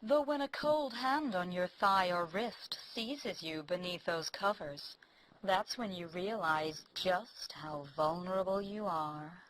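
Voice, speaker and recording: A woman playing an eerie radio producer in a horror drama, speaking in an ominous, hushed manner. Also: lowish voice, a little hiss or hum, rough recording. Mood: chilling. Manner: slow, ominous.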